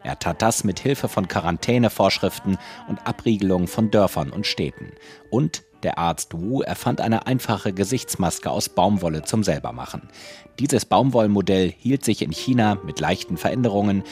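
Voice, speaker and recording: A man delivering a scripted radio report as a correspondent, even and factual. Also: sits low, moderate pace, soft background music.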